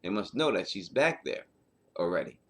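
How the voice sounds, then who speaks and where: A man's voice: low-pitched, animated, normal speed, fairly bright tone. A man hosting a book analysis, giving casual, off-the-cuff commentary with emphatic repetition and rhetorical questions. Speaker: host of book analysis show.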